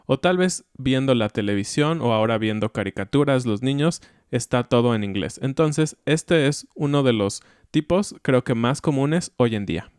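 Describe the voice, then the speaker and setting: A Mexican-accented man talks through ideas calmly and thoughtfully, like a teacher chatting on a podcast. His voice is smooth and clear, recorded close and dry with no room sound. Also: mid-range voice, moderate pace, hardly any pauses, no echo. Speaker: podcast co-host. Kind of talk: educational podcast chat.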